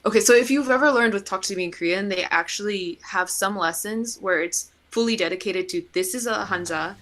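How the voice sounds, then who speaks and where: A woman's voice: fairly low pitch, fairly bright tone. A young woman chatting casually as a podcast guest, talkative, with frequent laughs and "like" fillers. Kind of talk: casual chat.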